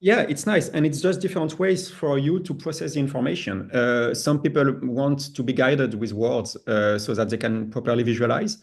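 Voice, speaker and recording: A man with noticeable vocal fry presents in an informal webinar style. His delivery is halting and explanatory, built up piece by piece at a middling pitch. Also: steady tone.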